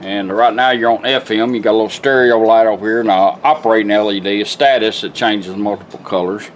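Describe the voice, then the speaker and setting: A man's voice: lowish voice, fairly even intonation, fairly quick, slight background noise. A middle-aged man giving a casual, plainspoken product demonstration at a workshop bench, like a folksy repairman. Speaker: car radio restorer demonstrating a product. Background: music playing from the radio being demonstrated.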